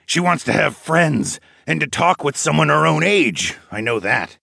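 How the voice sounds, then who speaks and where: A man voicing a gruff, suspicious, irritable grandfather in audiobook dialogue, curt and huffing. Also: on the high side, very animated, moderate pace, pushed and bright, no room sound, clean studio sound, pitched above the performer's own voice, full character voice. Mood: resigned. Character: gruff older grandfather, armed, wary and irritable.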